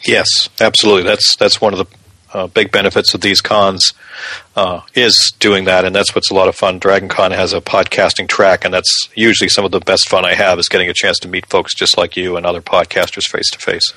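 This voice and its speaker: A man co-hosts a podcast in casual conversation. His voice is fairly low yet bright and forward, and it is recorded dry, without room sound. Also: flat delivery, brisk, dry recording, rough recording. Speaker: podcast guest co-host.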